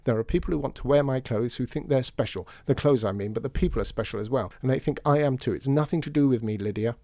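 A man's voice: mid-range voice, fast, vocal fry, mellow, dry recording, clean studio sound, lightly acted. Man acting an exasperated, insistent young woman designer. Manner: insistent, protesting. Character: harried self-important young female fashion designer, exasperated. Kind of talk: audiobook storytelling.

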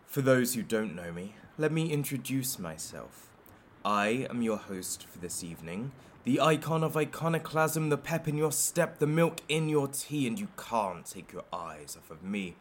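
Flamboyant showman hostage taker, played by a man, theatrical, smug, mock-polite, menacing, audio drama, mid pitch, noisy background. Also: big pitch swings, normal speed, natural voice.

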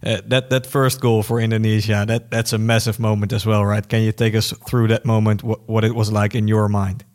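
A man's voice: lowish voice, flat delivery, fairly quick, few pauses. Man asking interview questions.

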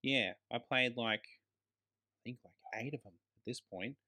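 A man in a casual film review chat, talking as a podcast co-host. He rants like an exasperated fan and throws in slang and swear words. His voice sits at an ordinary middle pitch. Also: unhurried, pushed and bright.

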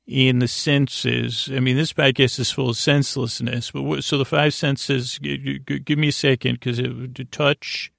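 A man with a creaky, gravelly voice hosting a bedtime podcast, meandering and halting as he trails off mid-thought. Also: mid-range voice, little pitch movement, a bit bright, no room sound, clean studio sound. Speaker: podcast host. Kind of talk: rambling bedtime sleep podcast monologue.

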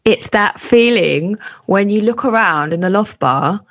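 A woman's voice: medium pitch, lively intonation, a little slow. A woman, a comedy performer, chatting and asking questions in a conversational interview with self-mocking asides.

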